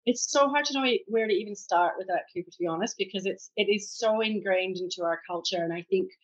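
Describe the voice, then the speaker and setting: A woman's voice: mid-range voice, fast, slightly rough, highs cut off. A Scottish woman in her forties, an author telling her story candidly and reflectively in a podcast interview. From Scotland. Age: 40s. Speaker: author guest.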